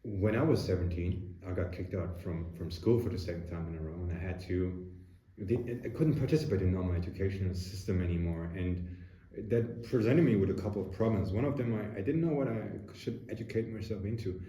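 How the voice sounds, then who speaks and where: A man's voice: sits low, fairly quick, dark tone. A man hosting a podcast, reflecting on his past and asking long, winding questions in a casual interview. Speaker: podcast host. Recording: roomy echo with faint noise.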